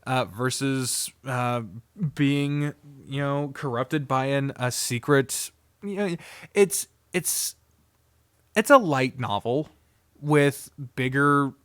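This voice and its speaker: A man giving an unscripted, casual review, blunt, profane and opinionated, often halting, digressing and trailing off mid-sentence. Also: medium pitch, clean tone, no echo, clean studio sound. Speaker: visual novel reviewer.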